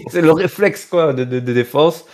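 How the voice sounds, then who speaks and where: A man's voice: on the high side, a little slow, a little mellow. Jokey man recapping wrestling casually. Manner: jokey, mocking, repeats phrases for effect. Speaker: podcast host recapping wrestling shows.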